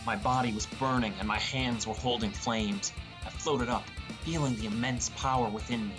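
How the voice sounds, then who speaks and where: A man narrates a first-person fantasy audiobook in a mid-pitched voice. He reads from a script, with faint music playing underneath. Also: steady tone, normal speed.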